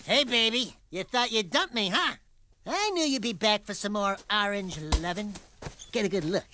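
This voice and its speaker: High, cocky animal sidekick. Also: male voice, animated, normal speed, bright, forceful tone, slight background noise. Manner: brash, mouthy, slangy. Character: cocky wisecracking small male animal sidekick. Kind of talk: cartoonish video game acting.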